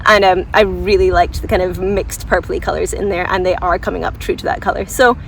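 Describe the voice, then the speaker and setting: A woman's voice: lowish voice, fairly even intonation, fairly quick, creaky, a little hiss or hum. Chatty woman vlogging outdoors, mic at a distance. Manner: enthusiastic, informal, self-deprecating, thinks aloud. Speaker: gardening vlogger giving a garden tour. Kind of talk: casual, unscripted chat to viewers. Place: outdoors in a flower garden.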